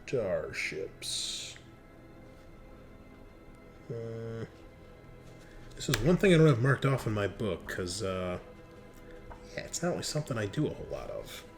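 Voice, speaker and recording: A man's voice: lowish voice, sing-song, measured pace, creaky, long pauses. Easygoing man game master, music behind him. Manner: easygoing, thinking aloud, improvising rulings. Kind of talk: casual tabletop game narration.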